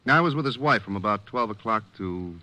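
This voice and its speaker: A surly American nightclub boss in an old radio crime drama. His voice is lowish and extremely hard-edged and forceful. He talks in a curt, tough, dismissive way. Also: expressive, quick pace. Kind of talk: 1940s-50s radio crime drama acting.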